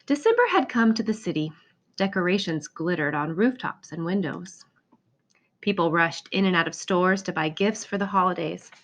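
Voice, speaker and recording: A woman reading a children's picture book aloud in an expressive storytelling manner. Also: on the low side, moderate pace. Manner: expressive, acts out exclamations and dialogue. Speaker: storybook reader.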